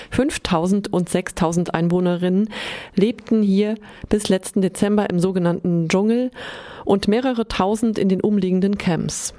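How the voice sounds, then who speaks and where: A woman with a soft, mellow voice of medium pitch reads a scripted radio report. She sounds like a reporter narrating background information.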